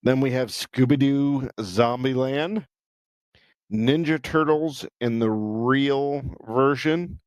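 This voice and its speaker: A man's voice: mid-range voice, slow, no echo. A man co-hosting a podcast in casual chat, blunt and swearing freely. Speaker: podcast co-host.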